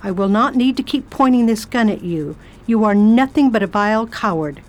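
A woman's voice: on the high side, lively intonation, moderate pace, darkish tone, lightly acted. Self-assured English lady traveller, a woman acting. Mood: commanding, scornful. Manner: formal, old-fashioned, self-assured. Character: imperious, curious English lady traveller writing a book. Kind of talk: audio drama acting and diary narration.

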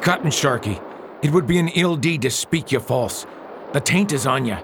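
A man's voice: on the high side, lively intonation, moderate pace, noisy background. An actor playing a grave, superstitious pirate crewman with ominous archaic talk in a radio drama.

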